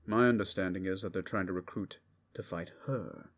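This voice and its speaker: A deep-voiced, businesslike man of a fantasy brothel, played by a male actor, with a heavy creak in his speech. He is dry, measured and matter-of-fact, in the manner of an ASMR roleplay. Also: full character voice.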